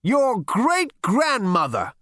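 Storybook ancient alien patriarch: very high, screeching rasp, scornful, snapping, stretching words for emphasis. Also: male voice, wildly swooping pitch, a bit bright, dry recording, clean studio sound, heavily acted. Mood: disdainful. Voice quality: screechy, rasping. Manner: snapping, scolding, drawn-out emphasis. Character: tiny ancient two-headed alien great-grandfather, irritable and scornful.

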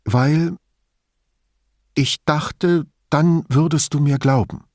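A man's voice: on the high side, slow, darkish tone, no room sound, studio quality, voice pushed up. A man voicing an agitated, defensive and spiteful woman in an audiobook, with a theatrical, indignant, emphatic delivery. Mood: pleading. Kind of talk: audiobook character dialogue.

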